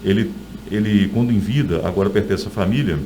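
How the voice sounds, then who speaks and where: A man's voice: fairly low pitch, brisk, dark tone, a little hiss or hum. A middle-aged man with a Brazilian accent, an author telling stories in a conversational interview.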